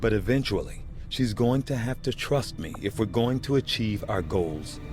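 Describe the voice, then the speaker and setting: Man as an aloof low-voiced research scientist. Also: animated, normal speed, over music, natural voice. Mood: clinical, detached. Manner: precise, formal, detached. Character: aloof, clinical male alien-research scientist, coolly proud. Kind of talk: scripted game dialogue, scientific briefing.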